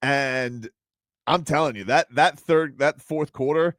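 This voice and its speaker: A man's voice: a little high, a little slow, dry recording, studio quality. A man hosting a sports radio show, joking and telling stories in casual banter. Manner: joking, animated storytelling.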